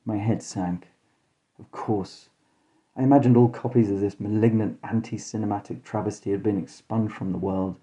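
A man hosting and narrating a scripted comic horror story in a deadpan, mock-dramatic way. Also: lowish voice, moderate pace. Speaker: podcast host and storyteller.

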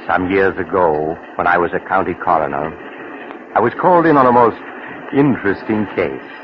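An actor playing a sinister yet genial mysterious storyteller, narrating a 1940s radio mystery with theatrical, ominous phrasing. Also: male voice, low-pitched, expressive, moderate pace. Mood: measured, intriguing. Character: sinister, genial mysterious male storyteller relishing macabre tales. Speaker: host narrator. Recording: muffled, noisy old 1940s radio recording.

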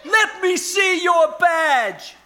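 A man's voice: extremely high pitch, lively intonation, noisy background, heavily acted. A man playing a blustering, suspicious police officer in an old-time radio comedy, with an exasperated, commanding manner. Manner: exasperated, commanding, drawn-out exclamations.

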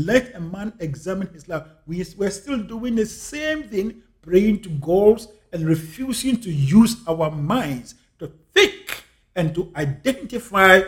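A man's voice: sits high, lively intonation, unhurried. A man from Ghana with a Ghanaian English accent, giving an earnest reflective monologue and repeating key phrases for emphasis. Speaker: speaker on life and scripture.

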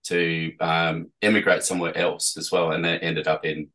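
A middle-aged man from Perth with an Australian accent, answering casually in halting, trailing phrases as an interview guest. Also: low-pitched, flat delivery, sharp tone. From Perth, Western Australia. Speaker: interviewee, tour guide.

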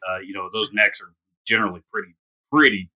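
A man guest in a casual podcast, talking guitars with a low voice. His tone is very bright and forceful. He speaks haltingly, stacking "you know" between his points. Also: poor audio.

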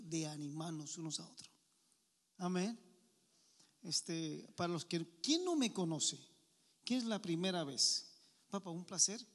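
A man's voice: fairly high pitch, big-room sound. A Puerto Rican man preaching informally to a men's meeting, chatty and joking, often asking the crowd questions. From Puerto Rico.

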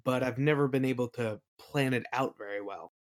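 Man, medium pitch, casual interview guest, reflective explanatory delivery. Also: moderate pace. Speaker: interview guest, game world builder.

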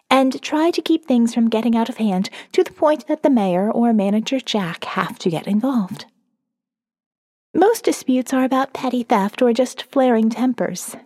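A woman's voice: fairly high pitch, darkish tone, no echo, studio quality, long reverb tail. A woman reading as a sardonic young college student narrating her survivor's diary in a wry, profane, conversational way for an audio novel. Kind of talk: first-person diary narration, dramatic reading.

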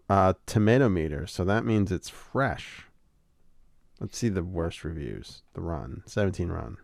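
A man's voice: deep voice, vocal fry, a little mellow, dry recording, clean studio sound. A man hosting a casual chat about movies who laughs often, swears freely and retells scenes from films. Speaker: podcast host.

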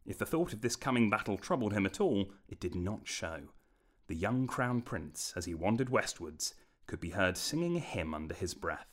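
A man narrates a fantasy tale in a scripted, storybook style. His voice sits very low, yet it moves through a lively range of intonation.

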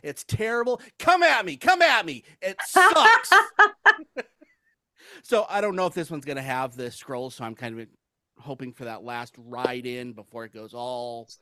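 A man hosting a casual podcast chat about movies, opinionated and quick to laugh. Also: mid-range voice, animated, pushed and bright, no room sound, studio quality. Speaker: podcast host.

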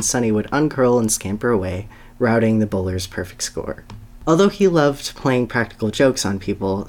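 A podcast host talking casually and reading a story aloud as a dramatic reading. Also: medium pitch.

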